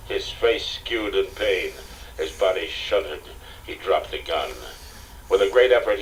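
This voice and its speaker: Very deep-voiced male audiobook narrator. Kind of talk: dramatic scripted narration. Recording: distant, noisy cassette audiobook recording.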